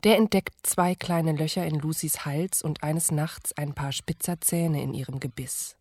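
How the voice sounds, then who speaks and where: Very low-voiced woman narrating radio drama. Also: steady tone, darkish tone, no room sound. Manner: measured, formal storytelling. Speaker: narrator and announcer. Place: studio.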